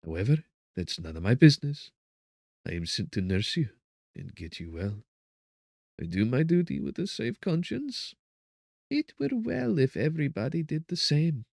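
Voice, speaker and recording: Callous old woman, prison nurse played by a man, brusque, indifferent, raised pitch, classic horror audiobook. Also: very animated, normal speed, vocal fry, a little mellow, no echo, clean studio sound. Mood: cold, brusque.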